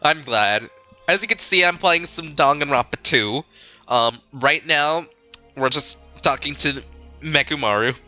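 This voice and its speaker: A man live-streaming a game with goofy, excitable commentary, reading the text aloud with a slightly stuffy nose. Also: a little high, a little slow, soft background music.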